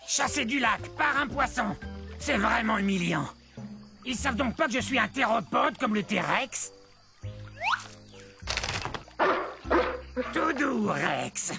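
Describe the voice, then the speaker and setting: An actor playing an expressive, friendly dinosaur who talks to young viewers in an educational children's cartoon, with music behind. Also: medium pitch, a little slow, creaky. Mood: excitable, boastful. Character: huge clumsy friendly dinosaur; small boastful excitable dinosaur.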